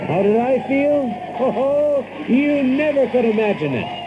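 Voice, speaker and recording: An actor playing a boastful, excitable Yankee adventurer who tells his own tale theatrically in an audiobook drama. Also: extremely high pitch, slow pace, heavy background noise, full character voice. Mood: reflective, pleased. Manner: theatrical, animated, with chuckles. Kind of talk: dramatized audiobook first-person narration. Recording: dull lo-fi audio with loud music.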